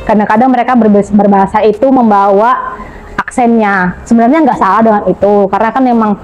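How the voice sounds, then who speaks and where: A woman's voice: medium pitch, steady tone, fast, darkish tone, poor audio. An Indonesian woman speaking as a podcast guest, chatting and telling stories, often addressing the host as "Kak" and mixing in English words. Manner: chatty, storytelling, often adds "Kak" to her sentences. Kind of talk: casual podcast chat.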